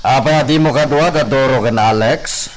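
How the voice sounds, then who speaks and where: A man's voice: medium pitch, normal speed, lightly acted, poor audio. A man playing a demanding hacienda patriarch in a radio drama, with an authoritative, probing way of talking. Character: demanding hacienda patriarch, questioning his servant. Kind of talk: Ilocano radio drama acting.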